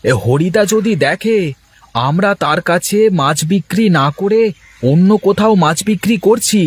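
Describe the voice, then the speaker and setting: A man voicing a poor, excitable village fisherman in a folksy moral-story cartoon. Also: high voice, moderate pace, husky. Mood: earnest, arguing.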